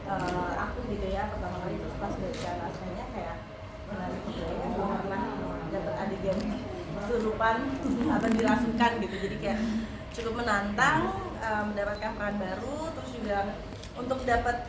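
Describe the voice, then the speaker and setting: A woman's voice: medium pitch, steady tone, normal speed, recorded from a distance, a loud crowd. A woman actress answering press questions casually, with lots of fillers and laughter. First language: not a native Javanese speaker. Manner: chatty, laughs often, many fillers. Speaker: lead actress at a press conference. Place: crowded press event hall. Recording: echoey hall, mic from afar, loud noise.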